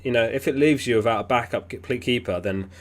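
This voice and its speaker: A man hosting a casual livestream, reading viewer messages aloud in a relaxed, chatty way. He is sniffly and keeps clearing his throat. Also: mid-range voice, fairly quick. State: sniffly, getting over an illness. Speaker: fantasy football livestream host.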